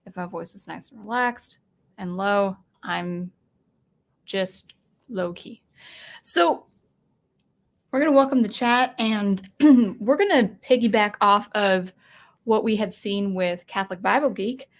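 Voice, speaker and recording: A woman hosting a casual livestream chat, talking off the cuff with fillers and restarts, at a desk mic in a home studio. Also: medium pitch. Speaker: livestream host.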